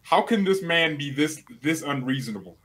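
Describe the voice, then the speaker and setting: A man's voice: a little high, lively intonation, moderate pace, a crowd in the background. A young man in his twenties, a guest rival fan, bantering playfully and teasing on a video call from a small room at home. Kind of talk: casual banter.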